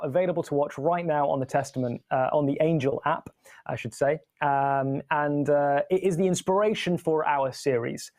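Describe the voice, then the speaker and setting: A man's voice: a little high, darkish tone. A man from Spain hosting a livestream in a casual, chatty, informal way. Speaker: livestream host, filmmaker.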